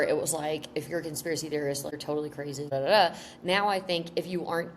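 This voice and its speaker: A woman co-hosting a comedy podcast, animated and rambling, blunt and quick to swear. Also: sits low, a little hiss or hum. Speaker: podcast co-host. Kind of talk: comedic casual chat.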